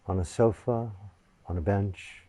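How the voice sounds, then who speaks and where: A man guiding a meditation in a soft, reverent voice, pausing often between phrases. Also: lowish voice, dry recording. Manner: soft, reverent, unhurried, with long pauses. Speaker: meditation teacher. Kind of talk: guided meditation.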